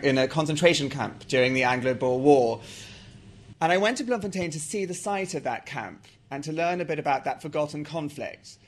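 A man's voice: fairly high pitch, fairly bright tone. A man with an English accent, from South Africa, talking about his novel and reading from it aloud. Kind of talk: author talk and reading aloud.

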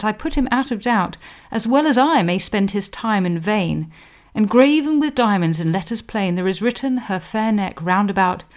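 A woman presenting a scripted history explainer in a measured, scholarly manner, giving emphasis to quotations. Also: medium pitch, darkish tone. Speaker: podcast host, historian.

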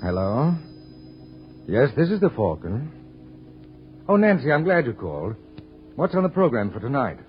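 An actor playing a suave, wry detective in 1940s radio drama style. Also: male voice, medium pitch, big pitch swings, normal speed, pauses often, faint music behind, lightly acted. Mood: breezy, wry. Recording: muffled old radio recording.

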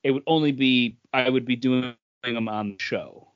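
A man's voice: medium pitch, steady tone, moderate pace, no echo. A man co-hosting a podcast, explaining things casually and often repeating himself. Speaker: podcast co-host. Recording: dull, lo-fi sound.

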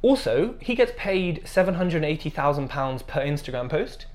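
A man's voice: a little high, sing-song, normal speed. A man whose first language is Punjabi, a guest on a casual podcast, chatting playfully and laughing often.

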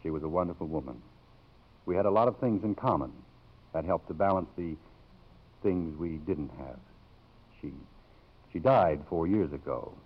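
Low-voiced, courteous college president. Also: male voice, lively intonation, normal speed, long pauses, breathy edge, heavily acted. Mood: hesitant, tender. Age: middle-aged. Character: gentle, courteous middle-aged college president, widowed old flame. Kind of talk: 1950s radio drama acting. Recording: muffled vintage 1950s radio recording.

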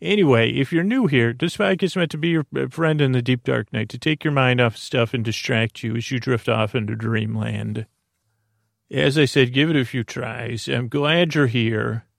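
A man's voice: mid-range voice, normal speed, creaky, dry recording, clean studio sound. A man hosting a bedtime podcast, talking in a soothing, lulling way and wandering off on tangents with many filler sounds. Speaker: podcast host. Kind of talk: rambling bedtime podcast intro.

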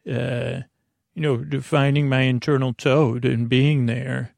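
Male sleep-podcast host, gravelly and dry-miked, drifting through halting, digressive bedtime rambles. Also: mid-range voice, fairly even intonation, a little mellow, dry recording. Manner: meandering, halting, digressive, self-interrupting. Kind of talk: rambling bedtime monologue to lull listeners to sleep.

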